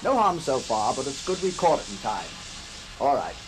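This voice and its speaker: A man's voice: mid-range voice, very animated, normal speed, music in the background, lightly acted, low-quality recording. An actor playing a musing, middle-aged man home after decades abroad, wry and hesitant, in a radio drama. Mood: brisk, attentive. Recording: faint background noise.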